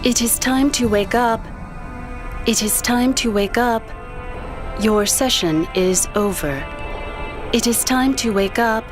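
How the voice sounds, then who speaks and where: A woman playing a composed, formal attendant and official in an audio drama, with measured, instructive delivery. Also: on the high side, over music. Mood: calm, insistent.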